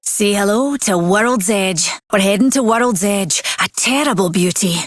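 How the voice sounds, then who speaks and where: A woman plays an adventurous Scottish scientist in a video game. Her mid-pitched voice is close and dry, full of tender wonder and a wistful streak. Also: measured pace, dry recording, lightly acted. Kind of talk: video game character voice lines.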